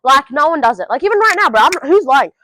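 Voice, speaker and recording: A woman's voice: high-pitched, big pitch swings, fast talker, sharp tone, dry recording, studio quality. A teenage woman, giving blunt, sweary advice straight to camera in a casual vlog recorded at home. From Australia. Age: teens. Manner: blunt, swears freely, talks straight to the viewer. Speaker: vlogger.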